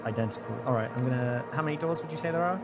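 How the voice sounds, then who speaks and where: A British man playing a tabletop game, asking quick joking questions and stammering when flustered. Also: medium pitch. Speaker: player. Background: loud music.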